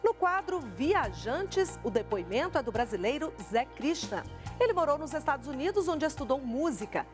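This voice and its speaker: A woman presenting a television program in a clear, polished, scripted way. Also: high-pitched, big pitch swings, moderate pace, over music.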